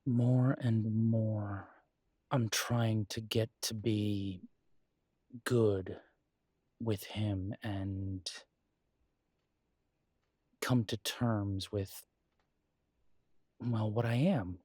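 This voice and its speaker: A man playing a conflicted, protective werewolf pack leader in an intimate audio roleplay, speaking hesitantly and reflectively with halting pauses. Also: lowish voice, steady tone, mellow, husky, no echo, clean studio sound, heavily acted.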